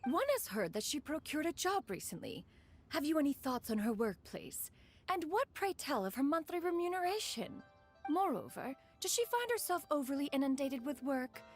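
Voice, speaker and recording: Female game role: haughty immortal adeptus, highish, very expressive, archaic and lofty phrasing, soft music beneath. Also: normal speed. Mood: anxious, probing. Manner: imperious, formal, archaic diction, refers to self as "one". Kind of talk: scripted game dialogue. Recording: faint game music behind.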